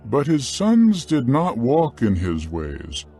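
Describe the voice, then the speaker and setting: A man narrating scripture from a script in a solemn, measured, carefully phrased dramatic style. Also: medium pitch, huge pitch swings, dark tone, soft background music.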